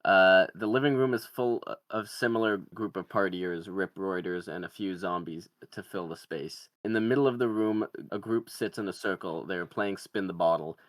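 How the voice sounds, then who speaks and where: A man's voice: sits low, moderate pace, hardly any pauses, dry recording. A man reading screenplay stage directions as narrator at a table read.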